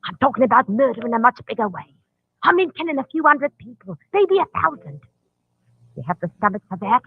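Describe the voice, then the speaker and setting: A woman's voice: fairly high pitch, sing-song, brisk, husky, no echo, exaggerated voice. A woman in an old radio drama playing a chatty, conspiratorial underworld recruiter who coaxes and pries. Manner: coaxing, inquisitive. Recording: tinny lo-fi vintage radio recording.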